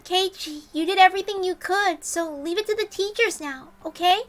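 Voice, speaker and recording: A woman voicing a gentle, worried teenage anime girl in a sweet, coaxing manner. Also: very high-pitched, moderate pace, soft background music, pitched above the performer's own voice. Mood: gentle, concerned.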